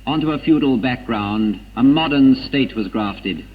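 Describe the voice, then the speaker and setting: Man, 1940s propaganda narration, grave and emphatic, dramatic pauses, muffled old film sound, prominent music behind. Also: medium pitch, expressive. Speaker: documentary narrator.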